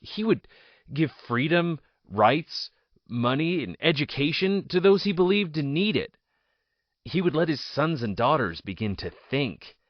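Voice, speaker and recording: Dull dry recording, male actor as disgraced nobleman, bitter and proud, lively swooping delivery, emphatic pauses, audio drama monologue. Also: fairly high pitch, no room sound. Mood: haughty, sardonic. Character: ousted nobleman politician, jailed idealist, bitter and proud.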